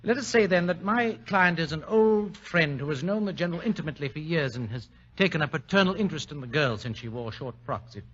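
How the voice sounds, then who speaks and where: A male actor with a British accent playing a formal, courteous titled gentleman who speaks gravely and earnestly in an old radio drama. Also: on the high side, expressive, a little fast, hardly any pauses. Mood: discreet. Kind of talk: 1940s radio drama acting. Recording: dull lo-fi old radio recording.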